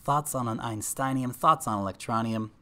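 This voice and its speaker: A man's voice: mid-range voice, normal speed, sharp tone. A young man with an American accent, hosting a casual livestream, reading out viewer comments and chatting with fillers along the way. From New Zealand. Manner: chatty, addresses viewers as guys, thinks aloud with fillers. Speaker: livestream host.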